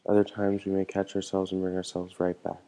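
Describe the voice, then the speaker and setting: Low, mellow man guiding a meditation. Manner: calm, gentle, measured instruction. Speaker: meditation teacher. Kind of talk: guided meditation.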